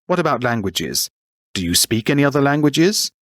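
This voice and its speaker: A brisk, businesslike interviewer. His pitch moves a lot as he asks each question. The sound is dry and close. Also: very animated, a bit bright, no room sound. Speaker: interviewer filling in a form. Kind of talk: scripted textbook listening dialogue.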